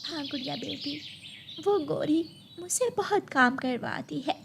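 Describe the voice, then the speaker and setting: A woman voicing a gentle, put-upon mother bird in a cartoon, polite and plaintive. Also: sits high, animated, unhurried, vocal fry, background noise, voice pushed up, heavily acted. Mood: weary, pleading. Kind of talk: Hindi moral cartoon story dialogue.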